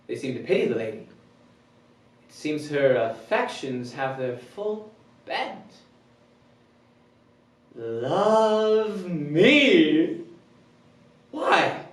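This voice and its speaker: A man's voice: high voice, exaggerated voice. A man performing a proud bachelor giddy at being loved, in a theatrical Shakespearean comic monologue, declamatory with abrupt swings between musing and exclaiming. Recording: roomy echo, distant microphone.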